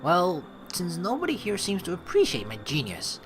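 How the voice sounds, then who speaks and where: A man's voice: high voice, wildly swooping pitch, normal speed, fairly bright tone, faint music behind, poor audio. A man hosting a let's play, reading game text aloud and reacting casually and animatedly, with free swearing.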